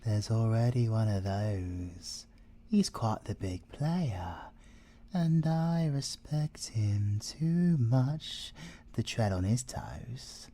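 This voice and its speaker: A man's voice: lowish voice, expressive, mellow, exaggerated voice. A British man playing a playful, possessive, seductive siren villain in a theatrical ASMR audio roleplay. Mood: boastful, flirtatious. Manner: teasing, theatrical, drawn-out delivery with drops into whispering.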